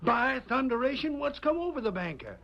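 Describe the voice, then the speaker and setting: An actor playing an excitable, indignant cattle rancher with an American accent and a blustering, folksy manner in a radio western. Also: male voice, very high-pitched, fairly quick, exaggerated voice. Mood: amazed. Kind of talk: old-time radio western acting. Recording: dull vintage radio recording.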